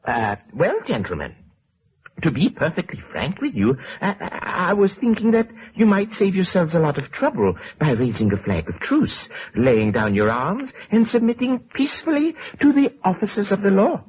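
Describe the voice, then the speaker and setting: Husky, meek little professor, swooping pitch. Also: male voice, wildly swooping pitch, normal speed, a little mellow, exaggerated voice. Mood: earnest, flustered. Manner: stammering, fussily formal. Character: meek, polite, bookish little professor, oblivious to danger. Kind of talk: 1940s radio acting. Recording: muffled old radio recording.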